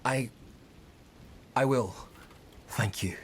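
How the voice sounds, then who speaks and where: A concerned, courteous traveller speaks in a mid-pitched voice. He is gentle and persuasive, a video game ally, with faint noise around him. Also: drawn-out words. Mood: earnest. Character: polite, concerned male traveller, gently persuasive family friend. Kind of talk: video game cutscene acting.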